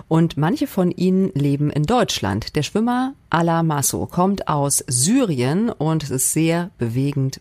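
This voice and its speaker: A woman's voice: sits low, darkish tone, dry recording. A woman co-hosting a podcast, introducing segments and asking questions. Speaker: podcast host. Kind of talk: podcast presenting.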